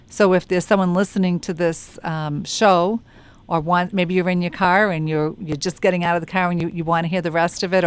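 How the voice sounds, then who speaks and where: A radio host with a Boston accent talks in a chatty, easygoing way. Her voice is lower than most women's, and it sounds smooth and resonant. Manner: chatty, friendly banter. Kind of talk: casual radio interview.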